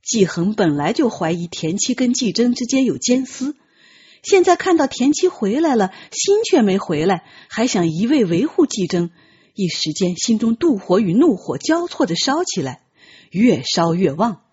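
Husky, expressive woman narrating. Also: mid-range voice, normal speed, highs cut off. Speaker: audiobook narrator. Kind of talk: dramatic scripted narration.

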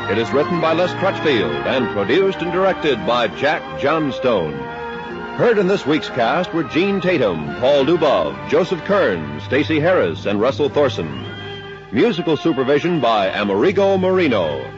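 Formal announcer over music. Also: male voice, medium pitch, big pitch swings, highs cut off. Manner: formal, measured. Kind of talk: 1950s radio announcing. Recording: old radio recording over music.

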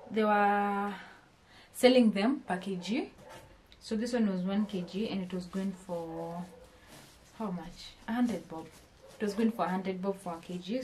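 A young Kenyan-accented woman talks through a casual haul vlog in a small home kitchen. Her voice sits at a middling pitch, and she keeps turning to her viewers with questions and restarting her sentences. The camera picks her up from arm's length. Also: a little mellow, a little hiss or hum. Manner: chatty, addresses viewers with questions, often restarts phrases. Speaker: vlogger.

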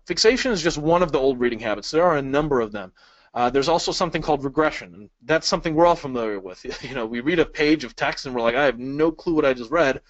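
A man teaches a webinar from a small room over a webcam, friendly and full of questions for his audience. The sound is dry and a little dull, with no room echo and a muted top end. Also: medium pitch. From Chicago, US. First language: Polish. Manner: friendly, asks the audience questions, light jokes. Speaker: speed-reading instructor. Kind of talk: webinar lesson with slides. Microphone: webcam inset.

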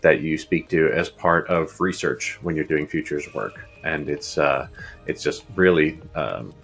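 A man's voice: a bass voice, a little slow, gravelly, fairly bright tone, soft background music. A man in his fifties, a guest futurist, answering at length with many ums and restarts over a video call from a home office. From Uruguay. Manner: rambling, many fillers and restarts. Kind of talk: casual interview answers. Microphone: earbuds on video call.

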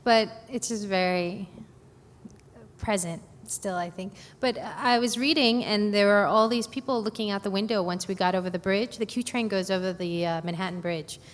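A woman from Brooklyn recounting her life in an oral history interview, hesitant with many pauses and fillers. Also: mid-range voice. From Brooklyn, New York. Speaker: oral history narrator on a panel. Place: conference hall. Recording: echoey hall, faint background noise.

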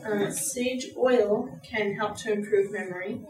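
A woman's voice: mid-range voice, a little slow. A young woman stands before a lecture room, reading her presentation in an earnest, careful way with the odd "um". The sound is roomy and picked up from the back of the room. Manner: earnest, reads from notes with fillers. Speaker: student presenter. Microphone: far camera. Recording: echoey room from far off.

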